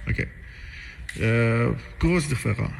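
Gravelly man, hesitant. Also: medium pitch, takes it slowly. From Iran. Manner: hesitant, searching for words, nervous laughter. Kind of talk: casual interview. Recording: noticeable background noise.

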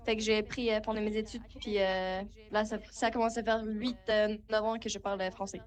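A woman's voice: medium pitch, flat delivery, a little fast. A woman from New Zealand, a circus performer giving short casual answers in a radio interview.

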